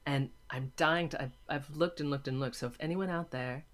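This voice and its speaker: A woman's voice: a bass voice, normal speed. Emphatic, enthusiastic woman in interview. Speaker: interview guest, audio drama maker. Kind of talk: casual interview conversation.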